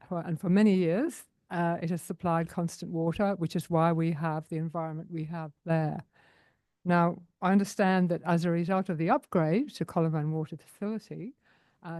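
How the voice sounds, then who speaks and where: Earnest woman, dark dry voice. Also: on the low side, no echo. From Australia. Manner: earnest, unhurried, with many fillers. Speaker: community group president. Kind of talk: public comment at council meeting.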